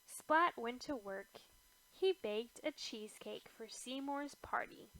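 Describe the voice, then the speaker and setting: Female storybook narrator for young children: very unhurried, expressive, pitched fairly high. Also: dry recording, low-quality recording. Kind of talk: scripted children's read-aloud narration.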